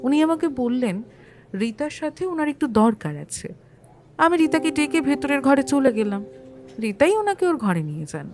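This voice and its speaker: Female actor as landlady witness, audio courtroom drama, highish voice, swooping intonation, earnest, chatty, slightly defensive. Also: very animated, normal speed, darkish tone, soft background music. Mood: recounting. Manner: chatty, hesitant, defensive about her propriety. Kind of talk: Bengali audio-story courtroom acting.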